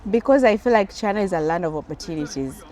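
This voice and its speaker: A woman's voice: fairly low pitch, expressive, fast talker, a little mellow, slight background noise. A woman with a Tanzanian accent answering questions in a casual interview, with a playful, effusive manner. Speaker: interview guest, businesswoman and engineer.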